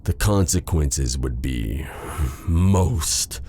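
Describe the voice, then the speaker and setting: A man playing a possessive, silky dark sorcerer in a theatrical fantasy ASMR roleplay, drawing out courtly endearments with quiet menace. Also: very deep voice, animated, very slow, a little hiss or hum, exaggerated voice. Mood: smooth, menacing.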